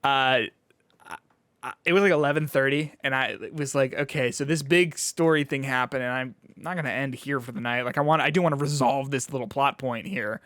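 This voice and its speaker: A man's voice: medium pitch, fairly quick, fairly bright tone, no room sound. A man co-hosting a podcast, chatting casually about video games in an informal, hedging way peppered with "like". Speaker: podcast co-host.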